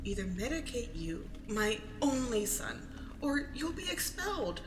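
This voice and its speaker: Woman acting a high-voiced, pleading, overburdened mother. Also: big pitch swings, unhurried. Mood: weary, distressed. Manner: pleading, emphatic. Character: defensive, overburdened single mother. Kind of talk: audio drama acting. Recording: roomy echo, faint noise.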